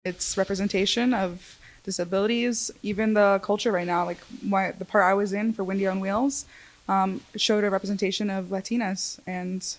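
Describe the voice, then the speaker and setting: An actress speaking conversationally in an interview. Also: female voice, mid-range voice, fairly even intonation, moderate pace.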